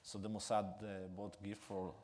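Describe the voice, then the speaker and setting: Israeli guy, middle-aged, lowish voice, stumbles thru stories on a stage. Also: little pitch movement, a little mellow. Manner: halting, frequent fillers, non-native grammar. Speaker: guest speaker. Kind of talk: storytelling onstage interview. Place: theater stage with live audience.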